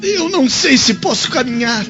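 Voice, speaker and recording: A man's voice: extremely high pitch, lively intonation, normal speed, bright, forceful tone, muffled recording, soft background music, full character voice. An actor playing a spoiled, injured young man in a radio drama, tearful with pain, whining and imperious. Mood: pained, impatient. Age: young adult.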